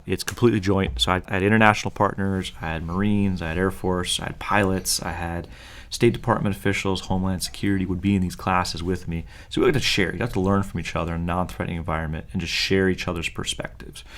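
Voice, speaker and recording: Deep-voiced man, earnest podcast co-host. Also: fairly even intonation, fast, a bit bright. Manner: talkative, earnest, many fillers. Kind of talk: casual conversational podcast.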